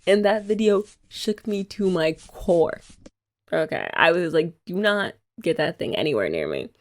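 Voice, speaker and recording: A woman's voice: fairly low pitch, big pitch swings, measured pace. A young woman hosting an ASMR girlfriend roleplay, chatty and giggly as she rambles. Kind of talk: soft-spoken ASMR roleplay chat.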